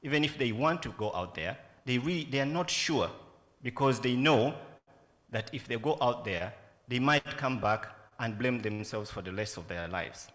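A man's voice: mid-range voice, lively intonation, moderate pace, fairly bright tone, in a large hall. A man in his forties with a Ugandan accent, speaking earnestly into a stand mic at a festival panel, often asking reflective questions. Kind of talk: panel talk. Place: conference room with a yellow banner.

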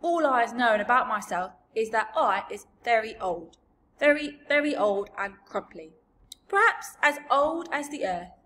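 A woman voicing a huge, very old, gentle male giant from a storybook, with mangled grammar and invented words. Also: on the high side, animated, unhurried. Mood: earnest, emphatic. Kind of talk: storybook character voice.

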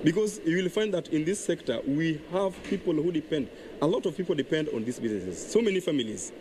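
Halting Kenyan man over background noise. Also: fairly high pitch, lively intonation. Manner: halting, repetitive. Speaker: bar owner giving interview. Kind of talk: interview plea. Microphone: handheld reporter mic.

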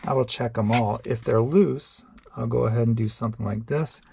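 A man with a gravelly, low-toned voice rambles offhandedly, thinking aloud as he goes. His tone is dark and mellow, and faint clicks and noise sit behind him. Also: fairly even intonation, normal speed. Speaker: online reseller. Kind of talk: casual show-and-tell vlog.

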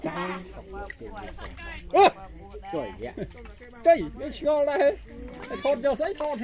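High-voiced woman exclaiming amid a crowd. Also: very animated. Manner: exclaiming, drawn-out calls. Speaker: villager catching fish. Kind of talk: casual exclamations while working. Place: outdoors by a muddy pond with onlookers. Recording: busy crowd of voices behind.

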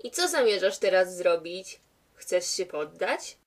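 A woman's voice: fairly low pitch, sing-song, unhurried. A woman playing a wry, skeptical narrator in a scripted comedy, questioning the hero in a dry, teasing way.

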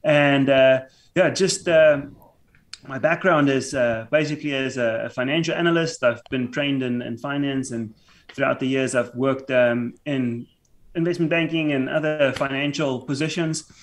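A man's voice: mid-range voice, fairly even intonation. A man in his thirties from South Africa, a native Afrikaans speaker with a South African accent, talking as a podcast guest from a home room over a remote call with headphones and a mic, stumbling and restarting his sentences often. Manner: halting, stammering restarts. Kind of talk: casual podcast interview.

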